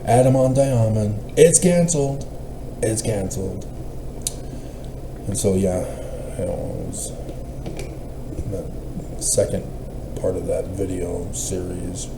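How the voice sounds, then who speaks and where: A man giving a rambling solo monologue in the manner of a self-styled prophet, meandering and often trailing off mid-thought. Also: lowish voice. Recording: roomy echo, clear background noise.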